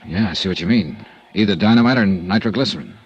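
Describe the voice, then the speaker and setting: A terse hardboiled investigator. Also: male voice, low-pitched, lively intonation, quick pace, husky, dull audio. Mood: steady. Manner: terse, clipped and matter-of-fact. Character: hardboiled American male insurance investigator. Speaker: narrator and lead detective. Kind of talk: 1950s radio drama first-person narration. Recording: old radio transcription with music bed.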